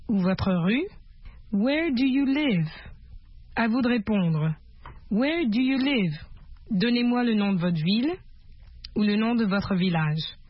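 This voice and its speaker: A woman teaching English to French speakers in a scripted, deliberate, instructional manner. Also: mid-range voice, husky, no room sound. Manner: instructional, deliberate, repeats questions. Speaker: language teacher. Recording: muffled lo-fi audio, loud guitar music.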